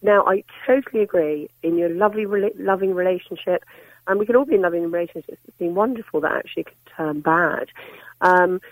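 A middle-aged woman calling in to a radio show, with a slightly croaky voice, putting her opinion firmly. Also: fairly low pitch, a little fast, dry recording, rough recording. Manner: firm, opinionated, talks in long runs. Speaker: caller. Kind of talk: radio phone-in call.

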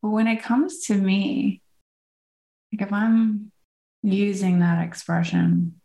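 Woman co-host, unhurried, gravelly, echoey room. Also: medium pitch, flat delivery, warm tone. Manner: unhurried, laughing easily, trailing off. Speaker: podcast co-host. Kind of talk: casual podcast chat.

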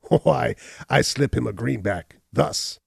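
A man's voice: medium pitch, expressive, slow, gravelly, breathy edge, no room sound, studio quality, full character voice. A man voicing a cruel, mocking hunchbacked landowner in a storybook narration, boastful and defiant in manner. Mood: smug.

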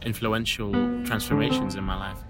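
Young man from syria, casual interview guest, easygoing, self-deprecating, rough phone recording, loud piano playing behind. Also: on the low side, moderate pace. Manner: relaxed, self-deprecating, laughs easily. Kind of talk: casual spoken life story. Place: room with a piano. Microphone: iPhone recording. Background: loud piano music.

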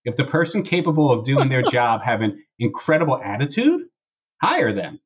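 A man with a husky voice hosting a casual podcast, chatting and reading posts aloud in a wry, sarcastic way. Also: medium pitch, big pitch swings, moderate pace, highs cut off. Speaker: podcast host.

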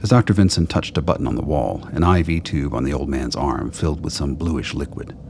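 Extremely deep, gravelly male narrator. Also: a little fast. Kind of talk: scripted radio drama narration. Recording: clear background noise.

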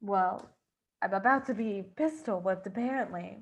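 A woman's voice: medium pitch, moderate pace, lightly acted. A woman playing a theatrical, easily exasperated mother of a grown son in improvised comedy. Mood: indignant. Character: indignant champagne-offering mother of a grown son.

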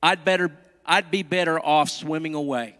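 A man's voice: fairly high pitch, fairly even intonation, moderate pace, a bit bright, lightly acted. A man voicing a sad, timid young male duckling from a storybook, polite, plaintive and hesitant. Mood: forlorn. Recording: long hall-like reverb.

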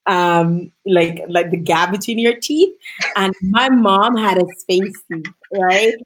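A woman's voice: medium pitch, takes it slowly, low-quality recording. A woman hosting a casual interview, talking in an effusive, chatty manner. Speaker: show host.

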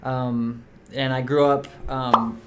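A man's voice: mid-range voice, slow, very noisy. A young man raised in Mexico City, talking casually as a podcast guest, with lots of fillers like "um" and "like". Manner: relaxed, many fillers like "um" and "like". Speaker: podcast guest, soccer player. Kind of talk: casual interview chat.

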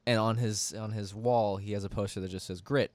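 A man's voice: lowish voice, steady tone, brisk, crowd noise. A young man chatting casually in a friend's bedroom, teasing and playful, with a lot of "like" fillers. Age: young adult. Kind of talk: casual chat.

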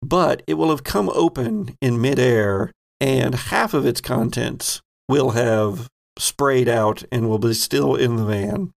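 A man with an average-pitched voice that often drops into vocal fry. He works as game master, making up the narration as he goes. His phrasing is deliberate and halting. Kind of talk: improvised narration of a tabletop roleplaying game.

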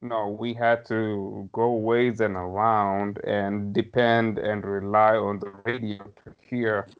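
Guest spot: man in his 30s from Somalia, lowish voice, reflective storyteller, slightly muffled lo-fi sound. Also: level tone, slow, smooth. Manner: thoughtful, hedging, with light laughs. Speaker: podcast guest. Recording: slightly dull lo-fi audio.

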